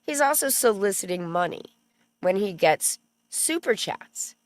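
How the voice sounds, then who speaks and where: A woman's voice: mid-range voice, expressive, unhurried, no echo. A woman hosting a casual commentary stream, chatty and sarcastic, who stops to read legal text aloud. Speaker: commentary channel host.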